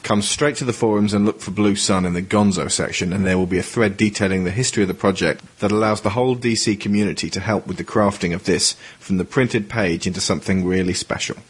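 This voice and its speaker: A man's voice: fairly low pitch, steady tone, quick pace, hardly any pauses, husky. A man reading scripted narration as the narrator of an audio drama. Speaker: narrator and project creator. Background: music.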